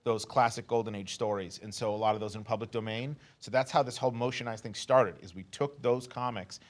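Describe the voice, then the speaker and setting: A man's voice: lowish voice, fairly even intonation, fairly quick. Casual, slangy man chatting in interview. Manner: slangy, joking, heavy use of "like". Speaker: comics company representative interviewed. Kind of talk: casual, animated interview chat.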